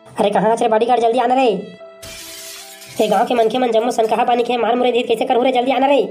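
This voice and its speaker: Casting: woman for pushy male candidate in cartoon comedy, raised pitch, colloquial Chhattisgarhi accent, scolding manner. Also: little pitch movement, fast talker, warm tone, faint music behind, close to an everyday voice. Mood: irritated, scolding. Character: brash, bossy male village election candidate bribing voters. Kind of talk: comic cartoon dialogue.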